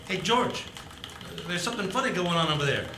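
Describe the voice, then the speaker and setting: Man playing nosy high-voiced cabbie. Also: big pitch swings, fairly quick. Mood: curious. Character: friendly, nosy small-town male taxi driver. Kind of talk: live 1940s radio play acting. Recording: roomy, noisy live stage recording.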